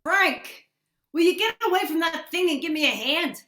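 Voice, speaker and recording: A woman's voice: very high voice, animated, moderate pace, reverberant, noisy background. A woman playing a harried, impatient, exasperated workmate in an old-time radio drama.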